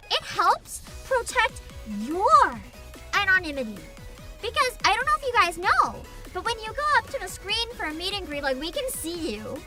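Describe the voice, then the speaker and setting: A woman with a very high voice that swoops up and down as she chats to her livestream viewers. She rambles in a giggly, casual way and swears freely. Music plays clearly behind her. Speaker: VTuber livestreamer.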